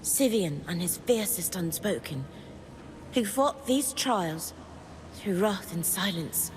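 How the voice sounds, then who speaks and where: An actress playing an earnest, curious historian in a video game, speaking in a measured, thoughtful way and giving ancient words gravity. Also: female voice, fairly low pitch, expressive, background noise. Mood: solemn. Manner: measured, contemplative, reading aloud with gravity. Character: earnest, curious woman historian and outsider studying ancient inscriptions. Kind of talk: video game character dialogue. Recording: long hall reverb, music behind.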